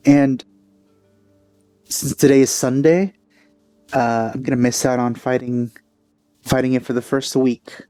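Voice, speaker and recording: Guy doing casual game commentary, mellow relaxed tone, kinda hesitant and rambly, dry close mic. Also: medium pitch, measured pace, pauses often, clear, resonant tone, dry recording, faint music behind. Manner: rambling, hesitant, self-correcting. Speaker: let's play host.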